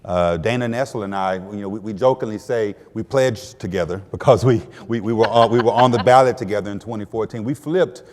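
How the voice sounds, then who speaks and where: A man from Texas, speaking as a guest on a political panel, halting and repeating his words with many fillers. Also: on the low side, darkish tone, long reverb tail. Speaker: panel guest, attorney general. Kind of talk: panel discussion.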